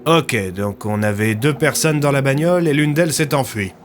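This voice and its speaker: A man playing a gruff, businesslike police inspector in an audio drama, speaking bluntly and colloquially. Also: mid-range voice, normal speed, lightly acted. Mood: serious, insistent.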